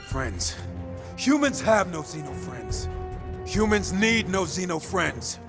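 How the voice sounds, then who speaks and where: An actor playing a haughty, cold zealot in a video game cutscene, speaking in pompous, archaic, contemptuous phrases. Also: male voice, high-pitched, sing-song, slow, exaggerated voice. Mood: scornful. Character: haughty, cold male zealot who despises aliens. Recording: music behind the voice. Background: game music.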